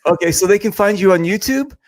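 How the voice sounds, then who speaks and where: Dude hosting a paintball podcast, kinda high voice, dry close sound, goofs around a lot. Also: male voice, fast talker, no echo. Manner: teasing, jokey, rambling with many fillers. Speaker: podcast host. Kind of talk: casual joking interview chat.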